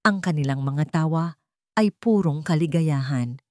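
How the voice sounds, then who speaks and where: A woman's voice: deep voice, measured pace, darkish tone, no room sound, studio quality. A woman reading a scripted, dramatic love story as its narrator.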